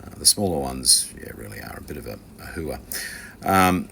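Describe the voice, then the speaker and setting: A man's voice: very deep voice, animated, moderate pace, gravelly, bright, forceful tone, slight background noise. A man giving an informal, unscripted hands-on tutorial as an instructor, hesitant and rambling, with dry, offhand asides. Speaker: instructor from a loudspeaker kit maker.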